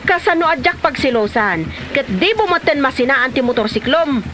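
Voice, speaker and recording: Over clear background noise, a woman acts a neglected wife from a comedy drama in an extremely high voice. She nags and complains plaintively, with an Ilocano accent. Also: animated, moderate pace, a bit bright. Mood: resentful. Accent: Filipino, Ilocano. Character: neglected, nagging wife, aggrieved and jealous of motorbike. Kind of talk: Ilocano comedy radio-style drama acting. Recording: clearly noisy background ambience.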